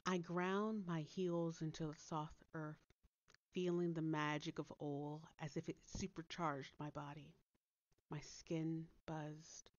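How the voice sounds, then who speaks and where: Slow, low-voiced woman storyteller. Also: flat delivery, no echo, muffled recording. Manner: deliberate, theatrical, dropping to hushed tones. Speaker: author reading own story. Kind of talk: dramatic scripted storytelling narration. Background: soft background music.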